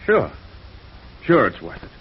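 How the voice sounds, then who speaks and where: A man acting a bitter, stubborn, defensive jailed embezzler in a 1950s radio noir drama, agitated and often halting. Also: mid-range voice, huge pitch swings. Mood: resigned, evasive. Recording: muffled, noisy old radio recording.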